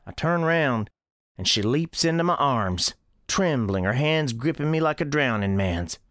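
Actor as folksy old country storekeeper, animated delivery. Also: male voice, mid-range voice, no room sound, clean studio sound. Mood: soothing. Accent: American. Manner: folksy, unhurried storytelling. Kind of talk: first-person scripted storytelling in radio drama.

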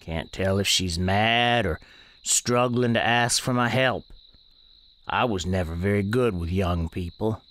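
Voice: low-pitched, unhurried, fairly bright tone. A performer narrating a radio tale in the first person as a plain-spoken, wry storekeeper. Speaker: narrator and storekeeper character in audio drama. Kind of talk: folksy first-person storytelling.

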